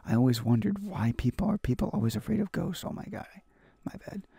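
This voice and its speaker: Gravelly, husky man reading a story aloud. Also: a little high, very animated, warm tone, no echo. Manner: halting read-aloud delivery with stumbles and repeated phrases. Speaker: gaming video host. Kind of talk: reading a visual novel aloud for a let's play.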